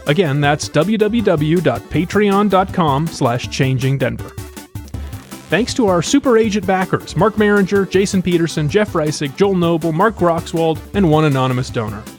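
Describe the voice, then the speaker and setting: A man with an American accent and a fairly high voice hosts a podcast. He moves between interview questions and scripted narration, with music around his voice. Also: expressive, normal speed.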